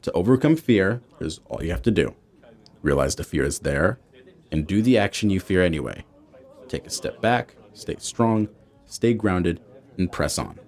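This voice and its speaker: A man's voice: deep voice, sing-song, moderate pace, darkish tone. A man playing a protective, supportive middle-aged father in naturalistic audio drama dialogue. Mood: encouraging.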